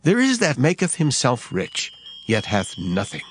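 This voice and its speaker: A man's voice: medium pitch, huge pitch swings, a little slow. A man reading Bible verses in an emphatic, formal, dramatized style. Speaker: scripture reader. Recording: music behind the voice. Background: background music.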